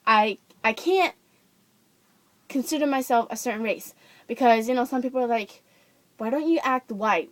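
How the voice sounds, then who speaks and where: Young woman, from Japan, casual rant to camera, slightly high voice, dry close sound. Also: no echo. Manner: chatty, many fillers and restarts. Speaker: vlogger.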